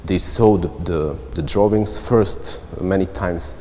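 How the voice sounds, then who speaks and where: A man from central Serbia, an artist answering interview questions in a reflective, halting way with frequent restarts. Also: low voice, mellow. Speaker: visual artist. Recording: long reverb, faint background noise.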